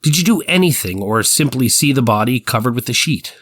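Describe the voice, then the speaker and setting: A man's voice: mid-range voice, animated, fairly quick, a bit bright. A man playing an 1890s prosecuting attorney, putting precise, formal, methodical questions to a witness in a dramatized trial reading. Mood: probing, formal. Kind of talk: courtroom transcript dramatized reading.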